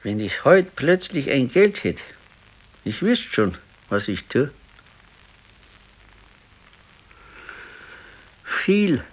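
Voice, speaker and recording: A man's voice: mid-range voice, big pitch swings, takes it slowly, rough recording. An actor playing a discontented, regretful husband in his sixties in a naturalistic radio play, talking plainly with a Bavarian accent. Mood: wistful. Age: 60s. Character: discontented, regretful ailing 68-year-old husband. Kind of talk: naturalistic 1970s radio play dialogue in dialect.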